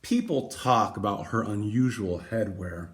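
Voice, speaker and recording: A man reading a picture book aloud, with dramatic emphasis and deliberate pauses. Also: lowish voice. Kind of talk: expressive storybook read-aloud.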